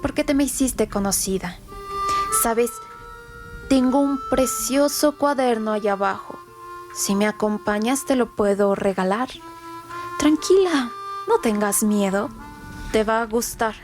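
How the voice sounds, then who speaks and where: A woman playing a sinister teenage boy in a radio drama, calm, falsely sweet and coaxing. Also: fairly high pitch, measured pace, long pauses, over music, close to an everyday voice. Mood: coaxing, falsely kind.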